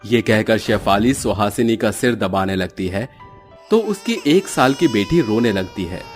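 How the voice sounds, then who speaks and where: A man's voice: fairly low pitch, animated, a little slow, soft background music. A man narrating a scripted cartoon story in a storyteller's role.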